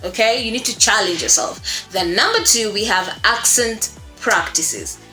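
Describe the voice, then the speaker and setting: A woman's voice: medium pitch, a little slow, bright, forceful tone. A woman giving an informal tutorial as a voice-acting coach, speaking in an encouraging, emphatic way and often repeating herself for stress. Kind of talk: informal instructional talk to viewers. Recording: roomy echo with music behind. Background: music.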